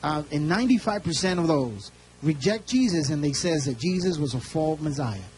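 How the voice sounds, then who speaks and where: Church interpreter, man, relays the preacher phrase by phrase with restarts, slightly high voice, faint hum. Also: animated, a little mellow. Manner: quick, restarting, echoes the speaker phrase by phrase. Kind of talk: live interpreting of a sermon.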